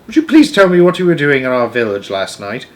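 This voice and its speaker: A man's voice: medium pitch, sing-song, a little fast, voice pushed up, close to an everyday voice. A man voicing a small, plucky storybook girl who is inquisitive, persistent and properly spoken. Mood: curious.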